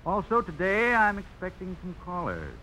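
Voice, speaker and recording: An actor playing a haughty young gentleman in a radio drama, pompous and courtly with a sardonic edge. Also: high voice, wildly swooping pitch, normal speed, exaggerated voice, low-quality recording. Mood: suave. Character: haughty, hot-tempered young New Orleans gentleman, suavely teasing. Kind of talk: 1950s radio drama acting. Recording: hissy old radio broadcast.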